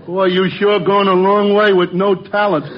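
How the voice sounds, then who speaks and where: An actor playing a dim-witted, blunt tavern regular in a 1940s radio comedy. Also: male voice, high-pitched, little pitch movement, normal speed, a crowd in the background, close to an everyday voice. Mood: blunt, mocking. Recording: muffled, noisy vintage radio recording.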